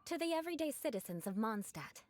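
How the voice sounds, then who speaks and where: A woman's voice: fairly high pitch, very animated, fast, a little mellow. A woman voicing a proud young noblewoman knight who speaks formally and aloofly, making dry threats of vengeance, in video game dialogue. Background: game music.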